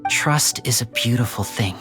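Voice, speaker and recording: An actor playing a suave, wistful young stage magician in a video game, with polished, reflective, theatrical delivery. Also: male voice, mid-range voice, moderate pace, music in the background, close to an everyday voice. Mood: regretful.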